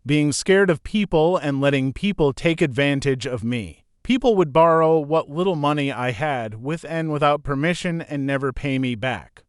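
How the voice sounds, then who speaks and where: Male narrator for read-aloud forum posts; smooth, slightly high voice, dry close recording. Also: normal speed, few pauses, no echo. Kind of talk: scripted narration.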